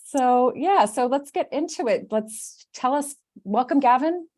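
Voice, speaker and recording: Animated woman hosting a live stream. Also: high voice, a little slow. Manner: animated, self-deprecating, rambling. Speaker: host.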